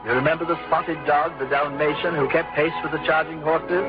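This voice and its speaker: A man's voice: fairly high pitch, fairly even intonation, brisk. A middle-aged American man giving nostalgic, scripted radio narration in a wistful storytelling manner. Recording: dull vintage radio recording with music.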